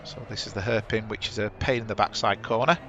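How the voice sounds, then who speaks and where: A man's voice: fairly low pitch, steady tone, fast talker, a bit bright, highs cut off, a little hiss or hum. A chatty man hosting a sim racing stream on a headset, talking over the action and correcting himself. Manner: chatty, rambling, self-correcting. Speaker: sim racing broadcast host. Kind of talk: live race commentary.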